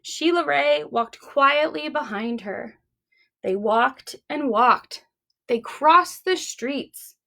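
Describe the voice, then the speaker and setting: A woman reading and talking to young children as a library storytime host, expressive and deliberate. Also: high-pitched, vocal fry.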